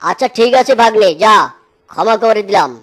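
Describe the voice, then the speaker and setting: A woman's voice: medium pitch, brisk, close to an everyday voice. A woman voicing a gullible, blustery but friendly cartoon tiger uncle, speaking emphatically with repeated exclamations. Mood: friendly, admiring. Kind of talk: exaggerated children's cartoon acting. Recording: clear music behind the voice.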